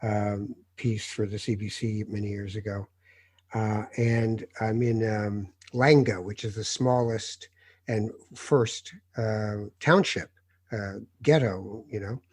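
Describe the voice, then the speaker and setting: A middle-aged man, a journalist born in Morocco, telling lively anecdotes in casual conversation and mimicking the people he quotes. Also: fairly low pitch, takes it slowly, breathy edge, no room sound.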